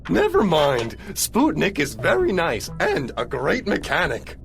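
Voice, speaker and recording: A man voicing a chatty, friendly robot in a video game, animated and familiar in manner. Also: a little high, a little slow, creaky, soft background music, full character voice. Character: chatty friendly robot showing a newcomer around. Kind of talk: scripted video game cutscene acting.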